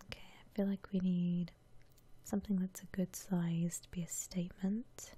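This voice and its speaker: Woman, breathy whisper, ASMR game commentary, low, flat intonation, soft musing delivery. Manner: unhurried, soft-spoken musing aloud. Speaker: host of an ASMR gaming channel.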